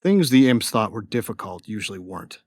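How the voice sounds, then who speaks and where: Male actor as young bounty hunter, low voice, dry close recording, wry, laid-back, cocky, audio drama narrator. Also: sing-song, fairly quick, a little mellow, no echo. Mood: wry narration.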